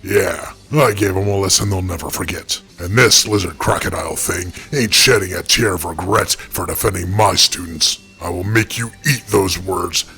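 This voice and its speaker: Man playing a deep, forceful giant lizard brawler. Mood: menacing. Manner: menacing, deliberate, righteous. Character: proud, threatening giant male lizard superhero wrestler. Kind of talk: animated fight show acting.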